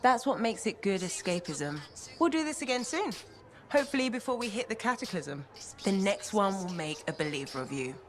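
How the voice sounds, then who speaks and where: An actress playing a calm, thoughtful woman in a video game, earnest and gently teasing. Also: mid-range voice, fairly quick, natural voice.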